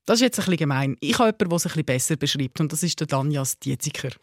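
A man with a Swiss German accent hosting a podcast, chatty and lively, asking quick questions. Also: a little high, no room sound, clean studio sound. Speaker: podcast host. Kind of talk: casual podcast chat.

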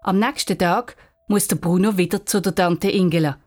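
A woman's voice: fairly low pitch, normal speed, a little mellow, soft background music. A woman narrating a scripted children's story in Swiss German as the storyteller.

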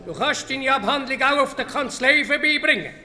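Shrill, officious village chairman. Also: expressive, fairly quick, pushed and bright, full character voice. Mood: businesslike. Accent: Swiss German. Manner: brusque, procedural. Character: brusque, officious male chairman of village assembly. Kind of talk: radio play dialogue.

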